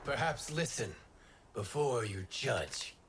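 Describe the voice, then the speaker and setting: An actor playing a calm, earnest wind god in a video game, measured and formal. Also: male voice, medium pitch, music in the background. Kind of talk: dramatic video game acting.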